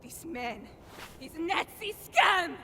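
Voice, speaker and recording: Young resistance woman, high voice, widely swinging pitch, intense, bitter, game drama, faint noise. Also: lively intonation, bright, forceful tone, heavily acted. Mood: bitter anger. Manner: intense, deliberate. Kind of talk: dramatic video game acting.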